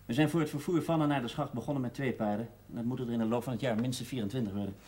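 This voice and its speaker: A man's voice: mid-range voice, racing, warm tone, slightly rough, close to an everyday voice. A man acting a curt, wry mine engineer in a naturalistic seventies television drama, speaking in a clipped, matter-of-fact way. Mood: brisk, curt. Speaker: mining engineer.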